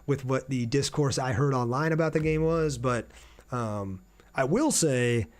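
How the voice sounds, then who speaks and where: A male game streamer with a medium-pitched voice chats casually to his viewers. He talks through things in an informal, conversational way, reading comments and replying as he goes. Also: a little mellow. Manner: reads viewer comments aloud and answers them. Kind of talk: casual livestream chat over gameplay.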